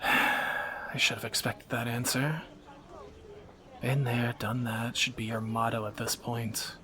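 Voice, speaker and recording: A man's voice: mid-range voice, level tone, slow pace, bright, forceful tone. A man playing a wry, flirtatious thief on the run in a romantic audio drama, speaking in teasing, sardonic banter to a companion.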